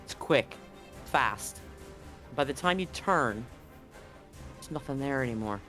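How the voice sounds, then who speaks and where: A man's voice: medium pitch, lively intonation, slow, pushed and bright, soft background music. A man acting as game master, narrating and chatting in an easygoing, informal way during a live tabletop game.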